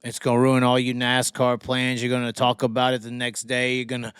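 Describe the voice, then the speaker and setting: A man's voice: medium pitch, completely flat delivery, fairly quick, a bit bright, clear, resonant tone, dry recording, studio quality. A man giving opinionated racing commentary in a brash, emphatic, profanity-heavy way, repeating phrases to drive them home. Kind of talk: opinionated solo rant commentary.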